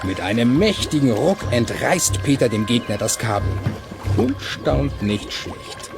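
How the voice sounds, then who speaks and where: A man narrating a children's audio play in a measured, suspenseful storytelling manner with clear articulation. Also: mid-range voice, big pitch swings, music in the background. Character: suspense-building male storyteller.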